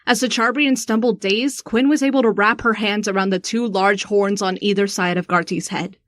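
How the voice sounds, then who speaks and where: A woman playing a shaken, sharp-tongued young woman in a first-person audio drama. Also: medium pitch, fairly even intonation, normal speed, dry recording, clean studio sound, natural voice. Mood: tense narration.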